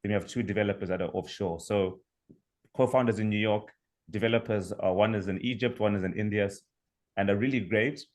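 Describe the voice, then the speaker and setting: A young man from Zimbabwe with a Zimbabwean accent, presenting his startup over a video call in a measured, explanatory way. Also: fairly low pitch, darkish tone. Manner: measured, explanatory, with fillers and restarts. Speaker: startup founder pitching. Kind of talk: online pitch presentation.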